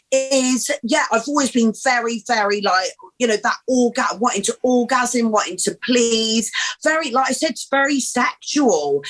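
A woman's voice: fairly high pitch, a bit bright, poor audio. A woman in her thirties, a guest talking candidly and bluntly, swearing freely and calling people babe. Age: 30s. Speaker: podcast guest. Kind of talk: candid casual conversation.

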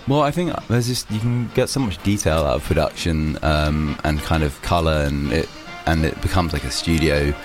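A young man, a musician, answering interview questions casually, rambling with many restarts and fillers. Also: extremely low pitch. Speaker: musician guest.

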